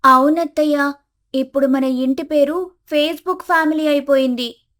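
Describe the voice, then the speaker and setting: A woman voicing a young village daughter-in-law, polite but frank, in an animated family story. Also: high voice, a little slow. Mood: reproachful. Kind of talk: animated moral story acting.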